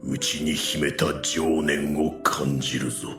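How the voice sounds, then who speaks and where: Solemn overlord, low and resounding with echo, measured and grave, anime audio drama. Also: male voice, flat delivery. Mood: grave, impressed. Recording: echoing voice over prominent music.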